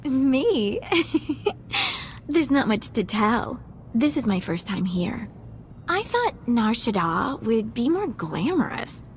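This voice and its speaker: High, lilting, coy young heiress. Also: female voice, big pitch swings, takes it slowly, a little hiss or hum, heavily acted. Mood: coy, admiring. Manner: coy, gushing. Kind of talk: video game voice acting.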